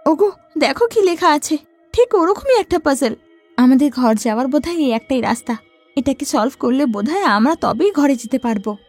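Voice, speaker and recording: A woman doing a shrill, frightened young mother. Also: expressive, moderate pace, a little mellow, faint music behind. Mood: eager. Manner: frightened, pleading. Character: anxious young mother and wife. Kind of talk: cartoon voice acting.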